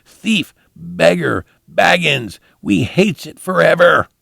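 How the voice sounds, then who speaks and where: A man's voice: fairly high pitch, lively intonation, takes it slowly, gravelly, fairly bright tone, dry recording, clean studio sound, pitched above the performer's own voice, heavily acted. A man voicing a wretched, hateful cave creature from a storybook, shrieking accusations in broken grammar. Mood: furious, hateful.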